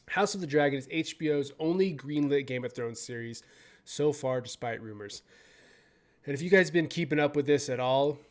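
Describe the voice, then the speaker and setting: A young man hosts a relaxed commentary video, reading entertainment news aloud and adding his own opinions. He trips over words now and then, swears casually, and his pitch sits in the middle. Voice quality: profane, stumbles over words while reading. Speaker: video host.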